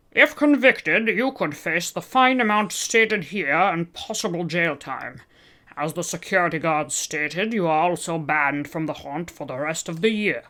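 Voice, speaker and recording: A woman voicing a businesslike male police officer with a nasal voice, reading him flatly and officially. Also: low-pitched. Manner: flat, official, issuing a citation.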